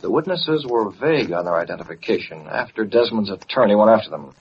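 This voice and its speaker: A man's voice: fairly low pitch, expressive, quick pace. An actor playing a hard, commanding American man in an old-time radio crime drama, speaking in a clipped, decisive way. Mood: grim, determined. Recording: muffled, noisy vintage radio recording.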